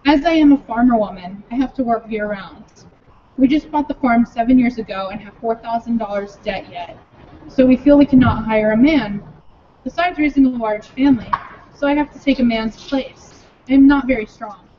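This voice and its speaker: A woman at a filibuster, reading letters aloud in an earnest, measured way. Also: a little high, slight background noise. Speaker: speaker at a filibuster. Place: state capitol building. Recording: dull lo-fi recording.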